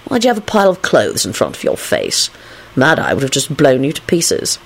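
A woman's voice: extremely low pitch, huge pitch swings, brisk, vocal fry, slightly rough, voice pushed down, heavily acted. A woman voicing a grumpy, petulant man in an audiobook, snapping and drawling his words. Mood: grouchy. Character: Lupin, grumpy petulant man, crabby in the morning.

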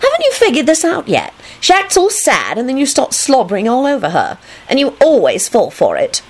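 Audiobook role: scornful little know-it-all girl, played by a woman, high, sing-song range, smug delivery. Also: wildly swooping pitch, fairly quick, heavily acted. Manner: sneering, matter-of-fact announcing. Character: scornful, knowing young girl who tattles.

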